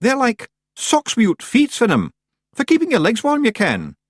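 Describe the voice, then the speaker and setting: A tiny clan chief of little fairy men chatters in a squeaky, shrill Scottish voice, eager and evasive. His voice leaps up high and swings wildly. The take is close and dry. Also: wildly swooping pitch, normal speed, no room sound, full character voice.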